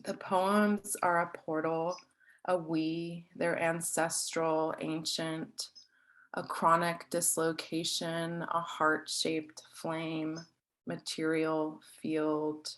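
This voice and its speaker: A woman with a low voice reads a prepared, poetic introduction as an event host. Her delivery is slow and even, formal and carefully measured from phrase to phrase.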